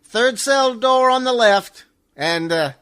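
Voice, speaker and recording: A man playing a wry, unhurried jailhouse cop in a 1940s-style radio comedy, drawing out his words. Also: extremely high pitch, animated, fairly bright tone, clean tone, full character voice. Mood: wry, official. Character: unhurried, wry jailer, a policeman.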